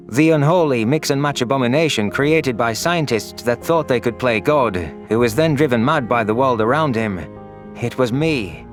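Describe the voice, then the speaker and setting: A man's voice: mid-range voice, lightly acted. A man voicing an anguished, vengeful young furry alien who narrates his own audio story in an earnest, solemn, dramatic way.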